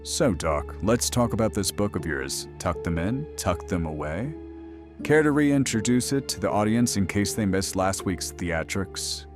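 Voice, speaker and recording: An actor playing a wry, deadpan, sardonic host of a late-night horror radio show. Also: male voice, low voice, a little slow, over music. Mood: wry, teasing. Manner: deadpan, sarcastic.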